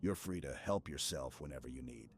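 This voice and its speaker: Casting: male actor for protective super-soldier commander in ASMR roleplay, rock-bottom pitch, stoic, steady, reassuring military clip, faint ambience behind. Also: brisk. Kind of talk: ASMR roleplay, scripted dramatic monologue. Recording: clear background ambience noise.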